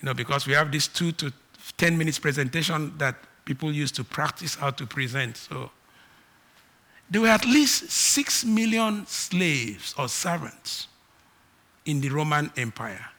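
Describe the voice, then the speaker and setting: A man from Nigeria with a Nigerian accent, preaching a sermon and moving between loud emphatic declarations and quiet asides. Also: on the high side, animated, measured pace.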